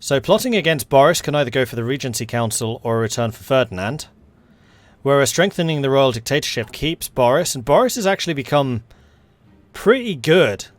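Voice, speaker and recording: A man's voice: mid-range voice, animated, fairly quick, no echo. A Scottish man giving casual let's-play commentary on a strategy game, chatty and wry, with joking asides.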